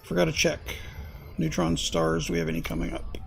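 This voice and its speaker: A man streaming a space game, thinking aloud in casual commentary, hoarse and losing his voice, often clearing his throat. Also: fairly high pitch, little pitch movement, fairly quick, soft background music.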